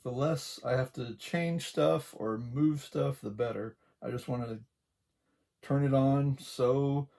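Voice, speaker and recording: Quiet man with a gravelly, creaky voice. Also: mid-range voice. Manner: unhurried, talks along while working. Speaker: craft tutorial host. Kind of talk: casual hands-on sewing tutorial.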